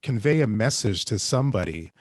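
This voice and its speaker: A man speaking reflectively as a writer on a discussion panel, haltingly repeating phrases as he thinks. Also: on the low side, expressive, no room sound, studio quality. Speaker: panelist, audio drama writer.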